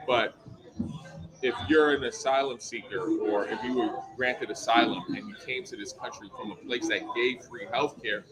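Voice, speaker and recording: Male co-host on an election night stream, animated and chatty, with crowd sound behind him. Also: medium pitch, moderate pace, rough recording. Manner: animated, conversational. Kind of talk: live political discussion on a video call.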